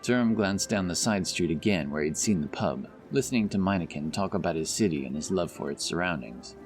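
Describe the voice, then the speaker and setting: A man's voice: low-pitched, animated, a little fast. A man narrating a scripted fantasy audiobook as storyteller. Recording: narration over loud background music. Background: music, outdoor sound effects.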